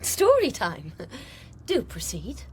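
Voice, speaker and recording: A woman's voice: on the high side, wildly swooping pitch, takes it slowly, slight background noise, exaggerated voice. An actress playing a cool, mysterious, mocking woman adversary in a radio crime drama, arch in manner.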